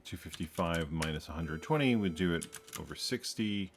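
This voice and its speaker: A man's voice: deep voice, expressive, normal speed, background noise. A man hosting a programming tutorial, thinking aloud as he codes, tired, with many ums and uhs. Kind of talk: casual screen-recorded coding walkthrough.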